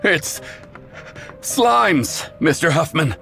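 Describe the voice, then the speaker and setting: Alarmed junior guard, high creaky voice, urgent, halting, game acting. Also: male voice, big pitch swings, pushed and bright, faint music behind, heavily acted. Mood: panicked. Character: panicked male junior guard reporting to his superior.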